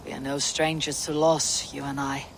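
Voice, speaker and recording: An actor playing a bold, battle-hardened Viking warrior leader in a video game cutscene. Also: male voice, mid-range voice, level tone, normal speed, creaky, a bit bright, slight background noise. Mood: warm, steady.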